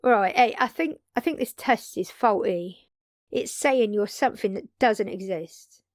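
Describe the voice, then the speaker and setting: Woman acting a slow-spoken, brash vampire woman. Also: medium pitch, dry recording, studio quality, close to an everyday voice. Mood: accusing, tense. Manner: casual, expressive, sweary, dramatic pauses. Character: brash, excitable undead vampire woman, a magic-savvy fighter. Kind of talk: intimate audio drama roleplay addressed to listener.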